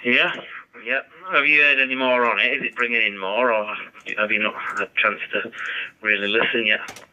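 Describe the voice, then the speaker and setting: A man's voice: medium pitch, level tone, moderate pace, pushed and bright, muffled recording. A man chatting casually and agreeably as an amateur radio operator, heard through a two-way digital radio. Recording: harsh radio speaker, faint room noise.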